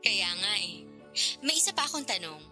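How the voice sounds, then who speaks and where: A woman's voice: medium pitch, sing-song, brisk, pushed and bright, faint music behind. An actress playing a curious, teasing, frank older girlfriend figure in a radio drama, talking in a playful, probing, casual way.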